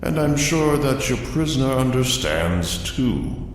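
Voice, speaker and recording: A man's voice: mid-range voice, sing-song, takes it slowly. A man playing a smug, sinister cultist creature in a fantasy audio drama, with mock courtesy. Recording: very echoey, distant, faint noise.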